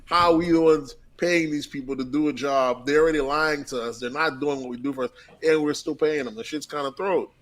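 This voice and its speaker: Podcast co-host, male, loose rambling talker, pitched a bit high. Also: fairly even intonation, a little fast, few pauses. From Haitian heritage. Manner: rambling, repeats words, says "you know what I'm saying". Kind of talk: casual podcast debate.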